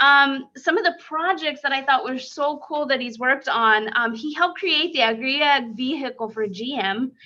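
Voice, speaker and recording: A woman's voice: high-pitched, normal speed, hardly any pauses, pushed and bright. A woman hosting a live-streamed interview, upbeat and full of "um"s. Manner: upbeat, filler-heavy. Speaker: host. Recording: lo-fi stream audio.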